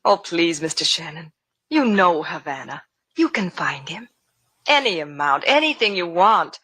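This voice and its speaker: An actress playing an earnest, anxious wealthy wife in a 1950s radio drama. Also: female voice, fairly low pitch, sing-song, measured pace, fairly bright tone, breathy edge, no room sound, full character voice. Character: rich, pleading, earnest wife, refined and anxious.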